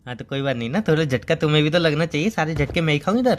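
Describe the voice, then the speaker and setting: A man's voice: on the high side, lively intonation, very fast, slight background noise, close to an everyday voice. A young man playing a teasing, argumentative boyfriend in a casual comic phone-call skit. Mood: teasing, defensive. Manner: teasing, argumentative, mock-aggrieved.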